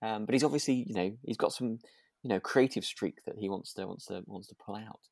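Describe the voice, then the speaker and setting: A man's voice: fairly low pitch, a little fast, gravelly, darkish tone, no echo. A man speaks as a podcast co-host in a very dry recording. He discusses a drama casually, hesitant and agreeable, circling back over his words as he thinks aloud. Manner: hesitant, repeats and trails off, agreeable.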